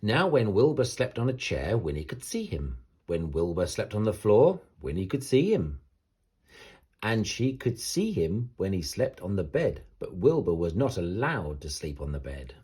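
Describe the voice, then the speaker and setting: A man reading a children's picture book aloud as an expressive, dramatic bedtime story narration, with a husky voice. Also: deep voice, normal speed.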